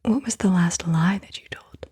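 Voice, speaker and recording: A woman speaking in a soft, breathy whisper, gently reading out questions in an ASMR style. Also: fairly low pitch, normal speed. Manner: hushed, gentle delivery. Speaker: ASMR host. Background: computer keyboard typing.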